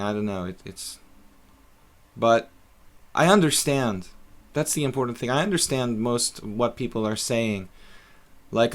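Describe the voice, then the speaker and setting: A man's voice: medium pitch, a bit bright. A middle-aged man casually commentating his own chess games on a live stream, thinking aloud with many fillers.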